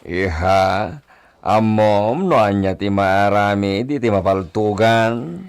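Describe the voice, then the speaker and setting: A man's voice: lowish voice, slow, clear, resonant tone. An actor playing a proud hacienda master, indulgent with his daughter, in radio drama style with Spanish phrases mixed in. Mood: indulgent, playful. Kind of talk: Ilocano radio drama acting.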